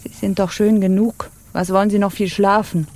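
An actress playing an imperious, provocative pirate captain in a radio drama, commanding and teasing in a deliberate manner. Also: female voice, medium pitch, little pitch movement, a little mellow, a little hiss or hum, lightly acted. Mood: cool, teasing. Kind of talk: theatrical radio drama acting.

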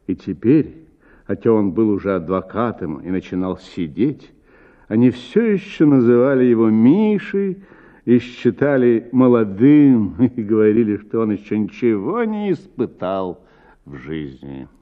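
A man reading a literary short story as a radio narrator, with measured, dramatic phrasing and drawn-out words. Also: on the low side, sing-song, narrow, tinny audio.

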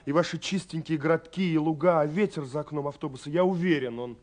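An actor playing a young, romantic, self-effacing carpenter reading out his love letter in an agitated, halting, confiding way, in a Soviet radio play. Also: fairly high pitch, close to an everyday voice. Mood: excited, yearning. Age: young adult. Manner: agitated, halting, confiding, with swells and hushed drops.

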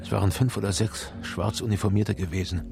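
A man playing a beaten, broken prisoner in a radio drama, speaking in halting fragments. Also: fairly low pitch, steady tone, gravelly, music in the background. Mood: haunted. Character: beaten, broken male prisoner recalling his torture. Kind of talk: German radio drama acting.

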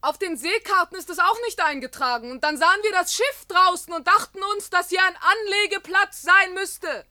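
An actress playing a curious, outspoken woman adventurer in a German science fiction radio drama, with a direct manner and slightly husky voice. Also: extremely high pitch, normal speed, hardly any pauses, bright, forceful tone, no echo, studio quality, exaggerated voice. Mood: explaining innocently. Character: curious, outspoken female adventurer with biology training.

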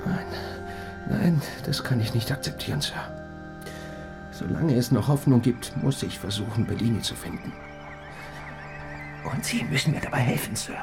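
A man's voice: medium pitch, expressive, normal speed, vocal fry, long pauses. An actor playing a polite, lovesick male robot in a radio drama, earnest, deferential and pleading. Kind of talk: German radio drama acting. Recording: music playing behind the voice.

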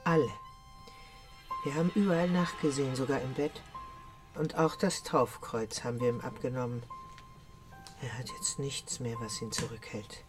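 Anxious adult daughter, low voice, radio drama, music behind. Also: female voice, fairly even intonation, normal speed, long pauses. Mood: subdued. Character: anxious grown daughter of a bedridden old man.

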